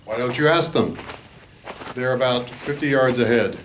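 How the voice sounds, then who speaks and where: A man playing a shrewd, commanding adventure hero, speaking in a measured, formal way in a live radio play. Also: mid-range voice, a bit bright, a little hiss or hum, lightly acted, low-quality recording. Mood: composed.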